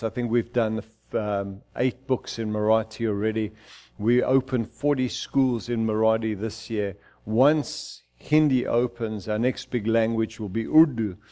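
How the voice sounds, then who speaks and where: A man's voice: mid-range voice, mellow. A middle-aged man from Zimbabwe, a pastor preaching fervently in a church, often restarting his sentences. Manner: fervent, declaiming, with frequent restarts. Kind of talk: sermon.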